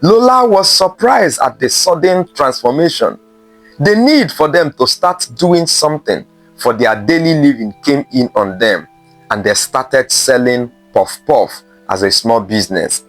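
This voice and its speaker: A man narrating a scripted folktale in a measured way, with dramatic pauses between short phrases. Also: mid-range voice, animated, a bit bright, dry recording, faint music behind. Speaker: storyteller.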